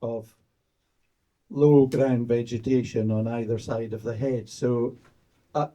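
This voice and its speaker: An older man from the audience asking a question in a slow, measured way. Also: mid-range voice, steady tone, warm tone. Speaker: audience member.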